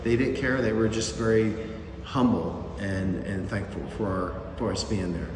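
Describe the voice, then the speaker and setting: A man's voice: fairly low pitch, normal speed, a little mellow, slight background noise. A man, a mission volunteer, telling a story in a loose, rambling way with many fillers. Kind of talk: casual group recap. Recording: echoey hall from far off.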